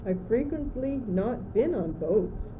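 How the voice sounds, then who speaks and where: Casting: teenage girl as confused, gloomy young courtier in school radio play, highish female voice, stagey plaintive delivery, lo-fi sound. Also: lively intonation, takes it slowly. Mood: despairing. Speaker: student actor. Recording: muffled old amateur recording, noisy.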